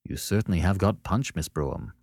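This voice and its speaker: A man's voice: low-pitched, very animated, a little fast, gravelly, darkish tone, no room sound, clean studio sound, full character voice. A man voicing a good-humoured young male beginner painter in an audiobook story, breezy and mildly bewildered.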